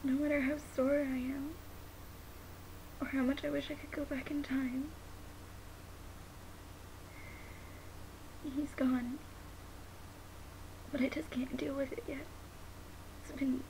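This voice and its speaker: A young woman playing a grieving, guilt-ridden daughter in a dramatic monologue, halting and sometimes nearly whispering. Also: high-pitched, little pitch movement, creaky, darkish tone, low-quality recording. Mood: anguished self-blame, then tearful longing. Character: grieving, guilt-ridden daughter who lost her father. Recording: noticeable background hiss.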